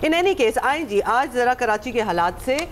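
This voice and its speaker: A woman's voice: fairly high pitch, lively intonation, measured pace, a little hiss or hum. A woman with a sore throat, co-hosting a morning talk show in casual chat and switching into English often. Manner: chatty, mixes English into Urdu.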